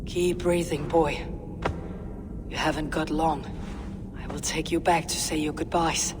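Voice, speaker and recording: A woman's voice: sits low, flat delivery, unhurried, background noise. A woman playing a grim, steely Viking warrior who can be tender, in a video game. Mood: urgent, gentle.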